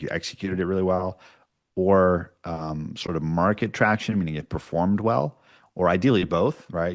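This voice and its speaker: A man speaking as an interview guest, explaining his work in an informal, conversational way. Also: low-pitched. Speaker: podcast producer, interview guest. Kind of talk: casual explanatory interview talk.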